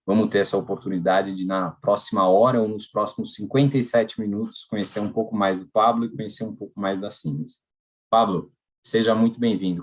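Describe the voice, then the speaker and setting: A man with a Brazilian Portuguese accent hosting a live podcast, welcoming and chatty. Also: low voice. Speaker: podcast host.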